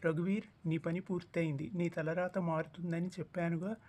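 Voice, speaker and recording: A man's voice: fairly high pitch, level tone, normal speed, darkish tone, close to an everyday voice. A man playing a benevolent, knowing holy man in a cartoon story, explaining with assurance. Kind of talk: acted cartoon story dialogue.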